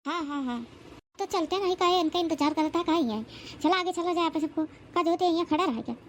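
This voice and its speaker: Woman playing bold, very high-voiced Awadhi new bride. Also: brisk, slight background noise. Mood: coaxing, eager. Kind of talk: rustic comedy cartoon acting.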